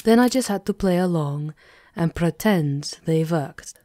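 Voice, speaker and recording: A woman's voice: fairly low pitch, animated, a little slow, warm tone, no echo, clean studio sound. A woman playing a commanding aristocratic vampire countess in an ASMR roleplay, with a theatrical, teasing menace. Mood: smug, controlled.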